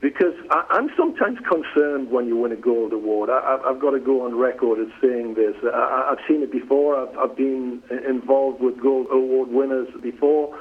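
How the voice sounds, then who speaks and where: A middle-aged man from the northeast of England, with an English accent softened by years in the US. He is a company president giving an interview and often repeats a word as he starts a sentence. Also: medium pitch, moderate pace, few pauses, husky. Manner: conversational, repeats words when starting sentences. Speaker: flooring company president, guest. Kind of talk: phone interview. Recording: tinny phone line.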